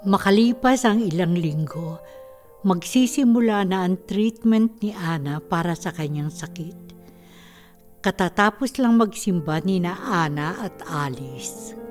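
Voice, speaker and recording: A woman narrates a radio drama in a slightly low voice. Her scripted storytelling plays over a clear music bed. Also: lively intonation, a little slow.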